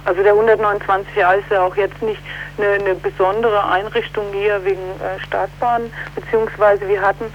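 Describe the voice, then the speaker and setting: A woman giving a spontaneous political interview as an activist, speaking haltingly and often ending on question tags. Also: medium pitch, level tone, dull audio, slight background noise.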